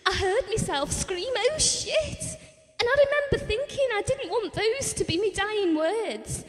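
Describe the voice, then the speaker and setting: A woman's voice: very high-pitched, lively intonation, unhurried. An actress playing a blunt, bitter wife and mother, confiding her memories in an audio drama. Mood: distressed. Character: Ellen, wife and mother recalling a family tragedy, bitter and blunt. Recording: reverberant hall-like sound.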